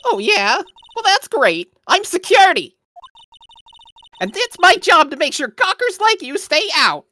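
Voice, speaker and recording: Very shrill, scolding old female guard. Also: sing-song, unhurried, pushed and bright, soft background music, exaggerated voice. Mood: indignant scolding. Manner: scolding, blustering. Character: loud, cantankerous old female studio security guard. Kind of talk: live fan voice-over of a video game.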